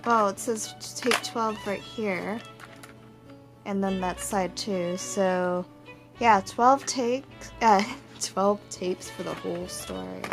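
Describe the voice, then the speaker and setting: A woman's voice: medium pitch, takes it slowly. A young adult woman co-hosting a vlog, chipping in with casual, unscripted remarks. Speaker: vlog co-host. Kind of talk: casual chat, short interjections. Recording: music playing behind the voice. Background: background music.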